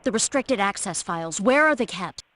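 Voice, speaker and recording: A woman's voice: mid-range voice, expressive, normal speed, fairly bright tone. A woman playing a determined female agent infiltrating enemy systems in a scripted video game. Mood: commanding. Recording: soundboard clips with light noise, clicks.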